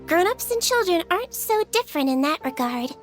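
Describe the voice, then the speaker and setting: A woman voicing a small, gentle female nurse creature in a video game, soft-spoken and matter-of-fact. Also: extremely high pitch, sing-song, brisk. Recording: game audio with clear music.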